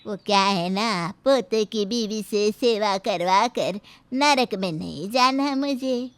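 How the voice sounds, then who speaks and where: A woman's voice: mid-range voice, slow, no echo. A woman voicing a crotchety, bossy old grandmother-in-law in a story, grumbling and commanding. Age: older. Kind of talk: Hindi moral story character acting.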